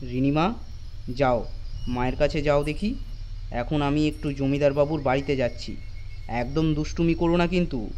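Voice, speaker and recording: A man voicing an affectionate father of a little girl, tender, patient and mildly firm, in an animated story. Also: on the high side, normal speed, long pauses, close to an everyday voice. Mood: gently firm.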